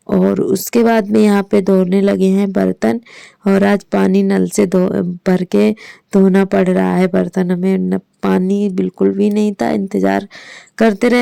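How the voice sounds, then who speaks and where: Hoarse woman vlogger in her thirties, Hindi accent, gravelly, flat delivery, dry close sound. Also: mid-range voice, dry recording. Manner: rambling, with many fillers. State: hoarse from a sore throat. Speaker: village vlogger narrating her day. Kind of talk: casual voice-over narration.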